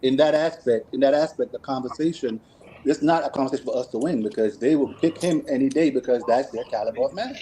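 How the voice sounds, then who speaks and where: A man's voice: mid-range voice, quick pace, warm tone, a little hiss or hum, low-quality recording. A man co-hosting a podcast, arguing emphatically and often repeating himself. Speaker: podcast co-host. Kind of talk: casual podcast debate.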